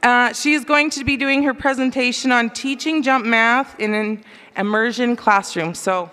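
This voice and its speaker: Woman teacher introducing a presenter, smooth clear voice, hall reverb. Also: a little high, normal speed. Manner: warm, chatty, with fillers. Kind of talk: introduction speech. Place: large conference hall.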